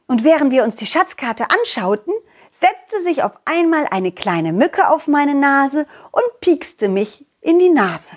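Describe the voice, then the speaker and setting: A woman voicing a confident, loyal, cheerful dog who tells stories to children in an excitable, childlike way, as in an audio play. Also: high voice. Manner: excitable, childlike, speaking directly to child listeners. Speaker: puppeteer and storyteller.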